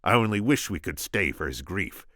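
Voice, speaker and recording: A man voicing a conceited, scheming male kidnapper from Sicily, in a shrill, mocking, smug voice, read aloud from a storybook. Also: very animated, normal speed, pushed and bright, no room sound, studio quality, heavily acted.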